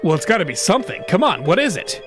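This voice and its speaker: A brash, impatient man with a high, strained voice that shoots well above the narrator's own, loud and pushy, as a male narrator puts him on. Faint music plays under the audiobook reading. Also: sing-song, fast. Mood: impatient, alarmed.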